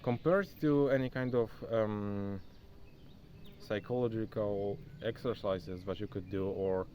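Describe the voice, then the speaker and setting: A man's voice: lowish voice, steady tone, measured pace, muffled recording, slight background noise. A young man who is not a native English speaker, vlogging casually outdoors into his phone, chatty and loose, calling the viewer "bro.". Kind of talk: casual self-recorded vlog talk. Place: outdoors, rural spot near a forest. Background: faint insects and outdoor nature sounds.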